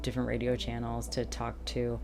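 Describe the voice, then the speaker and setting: A woman's voice: very deep voice, completely flat delivery, brisk, darkish tone. A middle-aged woman, a boat captain, chatting casually to camera in a wry, self-deprecating way. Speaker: boat captain and online boating vlogger. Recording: music playing behind the talk. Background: music.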